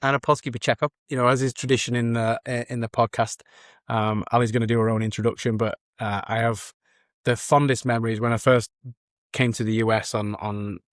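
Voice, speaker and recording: A man hosting a casual podcast, with a middle-pitched voice and a teasing, halting delivery full of fillers. His sound is dry and close to the mic, with no room around it. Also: flat delivery, no room sound. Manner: joking, hesitant with fillers. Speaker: podcast host.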